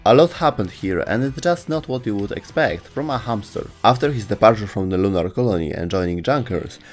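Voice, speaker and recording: A man with a lowish voice narrates a scripted video as host. His pitch moves in a lively way, and soft music plays behind him. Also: fairly quick.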